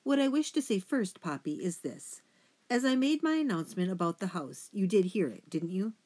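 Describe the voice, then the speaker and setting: A woman's voice: mid-range voice, animated, moderate pace, darkish tone, lightly acted. Woman voicing a pompous, stern mouse father. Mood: stern, self-important.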